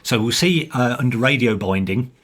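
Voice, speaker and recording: A man giving an informal software walkthrough as its developer, explaining step by step with pauses mid-sentence. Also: mid-range voice, lively intonation, breathy edge.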